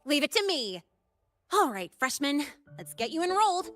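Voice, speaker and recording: A young female student from an anime-style game, high-voiced and very animated. She is perky, breezy and eager to help, with a casual way of talking. Music plays behind her. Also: normal speed. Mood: cheerful, guiding.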